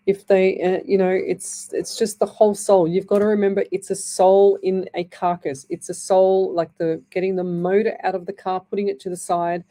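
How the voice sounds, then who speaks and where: Chatty Australian woman, dark scratchy voice. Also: on the low side. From Northern Ireland. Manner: chatty, rambling, warm-hearted reassurance. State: dry scratchy throat. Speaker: radio psychic medium host. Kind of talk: live radio chat reading messages. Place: radio studio.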